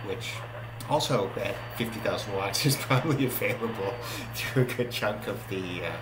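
A man casually talking through the stations as he tunes a shortwave radio, relaxed and unhurried, thinking aloud with many pauses. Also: lowish voice, heavy background noise. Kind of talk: casual narration while tuning a radio. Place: indoor room at a desk with the radio. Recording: roomy, distant, loud radio broadcasts behind. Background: shortwave radio broadcasts and music.

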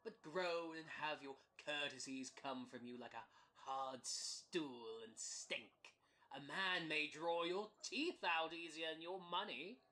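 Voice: mid-range voice, takes it slowly, sharp tone. An actor playing a scheming, mock-courtly, goading swindler in an early modern comedy audio drama. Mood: needling, bossy. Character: scheming, fast-talking con man flattering gentlemen, bullying merchant.

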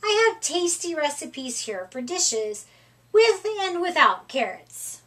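A woman's voice: very high voice, sing-song, takes it slowly, fairly bright tone, recorded from a distance, exaggerated voice. A woman voicing a reassuring weasel school nurse in a storybook, with an instructive manner.

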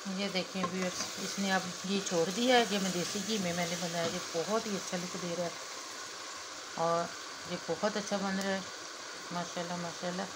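A woman's voice: lowish voice, steady tone, normal speed, very noisy. A woman, chatting viewers through cooking a dish in her home kitchen, recorded on a phone held over the pot. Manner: chatty, talks viewers through each step. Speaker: cooking channel host. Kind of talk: casual cooking vlog narration. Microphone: phone camera held over the pot.

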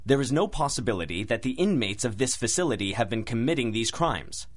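An actor playing a haughty, irritable chief psychiatrist in 1930s radio style, stuffy, formal and indignant. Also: male voice, medium pitch, big pitch swings, a little fast. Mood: defensive.

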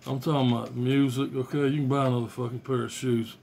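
Middle-aged man, blunt and profane, monotone delivery, faint room hiss, phone at arm's length. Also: medium pitch, quick pace, gravelly. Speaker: music collector vlogger. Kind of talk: casual rambling show-and-tell. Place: small bedroom indoors. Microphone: phone camera at arm's length.

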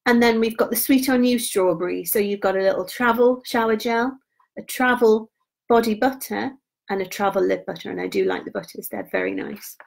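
A woman in her thirties talks casually through gift sets on a live stream, her voice mid-pitched. Her voice is wearing hoarse, and she drops softer at the ends of phrases in an easy, chatty way. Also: a little fast. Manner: chatty and informal, trails off quietly. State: voice going hoarse. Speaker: beauty product consultant.

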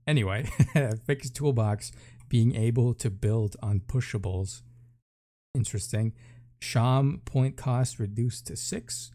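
Guy hosting a gaming vid, soft mellow voice, reads changelog items then comments casually, kinda thinking out loud. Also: medium pitch. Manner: offhand, thinking aloud between items. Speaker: gaming video host. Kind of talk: reading patch notes aloud with casual commentary.

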